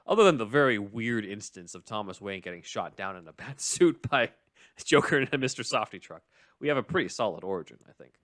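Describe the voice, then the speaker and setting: Low-voiced man, dry close sound. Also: lively intonation, fairly quick, fairly bright tone, no echo. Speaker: podcast host. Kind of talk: casual recap and commentary on a script.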